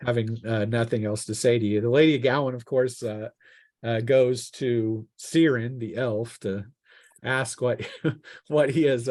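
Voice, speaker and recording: A man narrating a tabletop game as game master in a casual, halting, improvised way. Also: mid-range voice, darkish tone.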